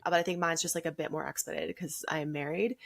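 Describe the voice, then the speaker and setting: Chatty woman, slightly low voice. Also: fairly even intonation, fast talker, vocal fry. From Canada. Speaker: podcast guest. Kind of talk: casual conversational interview.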